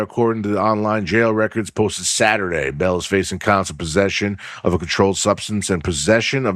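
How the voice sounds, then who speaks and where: A low-pitched man hosting a sports podcast in a dry, close-miked sound. He talks loosely and swears a lot, reading news stories aloud and breaking off to add his own remarks. His voice is wearing hoarse. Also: no echo. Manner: profane, rambling, reads news aloud with asides. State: losing his voice. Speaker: podcast host. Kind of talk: casual sports podcast chat.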